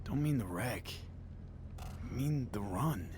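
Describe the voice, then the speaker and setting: An actor playing a gruff, wary street mercenary in a video game, talking in a casual, clipped, sceptical way. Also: male voice, medium pitch, animated, slow, darkish tone. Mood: suspicious. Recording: heavy background noise, game ambience.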